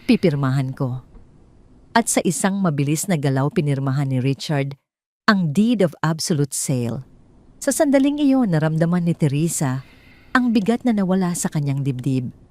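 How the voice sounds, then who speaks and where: Female storyteller, low and mellow, for dramatic scripted narration of audio drama. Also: animated, moderate pace.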